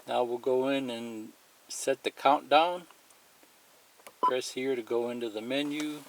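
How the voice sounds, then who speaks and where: A man's voice: mid-range voice, unhurried, noisy background. A man giving a plain, methodical, unscripted step-by-step demonstration of a product as a tutorial presenter.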